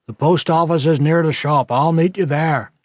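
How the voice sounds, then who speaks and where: A man voicing a gruff, caring old countryman with a rural English accent, terse and plain-spoken in an audiobook. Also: a little high, moderate pace, no echo, pitched above the performer's own voice, close to an everyday voice. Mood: brisk. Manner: terse, rustic dialect phrasing.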